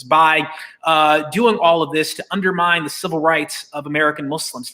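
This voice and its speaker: A man's voice: a little high, sharp tone. A man hosting a virtual press conference, delivering a prepared public statement in an emphatic way. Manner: emphatic, uses rhetorical questions. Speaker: host and moderator of a press conference.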